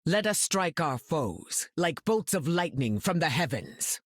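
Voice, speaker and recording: A proud warrior hero in a game, somewhat high in pitch and dryly recorded. His delivery is formal, grand and declamatory. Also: very animated, a little slow, fairly bright tone, no room sound, exaggerated voice. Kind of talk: video game dialogue.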